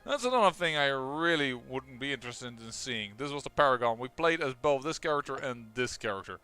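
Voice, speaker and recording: Excitable Dutch man commentating a game. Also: medium pitch, clear, resonant tone. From Netherlands. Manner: excitable, talks quickly with restarts. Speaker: let's play host.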